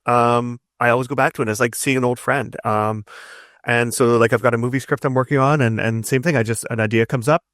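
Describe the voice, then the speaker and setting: A man talks casually as a guest in an interview. His voice sits at a middle pitch and the sound is very dry. He tells things eagerly in a loose, rambling way, doubling back on words as he goes. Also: fairly even intonation, brisk, a bit bright, dry recording. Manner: rambling, repeats words in bursts, enthusiastic storyteller. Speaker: interview guest, narrative designer and writer.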